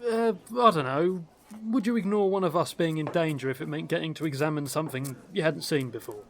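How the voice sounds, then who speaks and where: A polite, cautious adventurer, played by a man in a fantasy audio drama. The voice sits fairly high, and he speaks courteously and a bit tentatively. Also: brisk, slight background noise, lightly acted. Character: polite, cautious male adventurer interviewing recruits.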